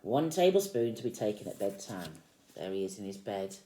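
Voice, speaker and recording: A woman reads a picture book aloud to young children like a teacher, expressively, with gently explained asides. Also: extremely low pitch, sing-song, moderate pace, echoey room, slight background noise, poor audio. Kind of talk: animated storybook read-aloud with explanatory asides.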